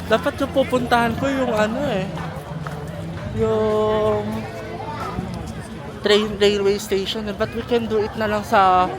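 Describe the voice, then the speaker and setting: A Filipino man chatting casually and ramblingly as a travel vlogger, mixing in English, with a Filipino accent. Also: high voice. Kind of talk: casual vlog chat. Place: outdoors on busy city streets. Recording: noisy outdoor street, traffic and crowds. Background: street crowd, vehicles.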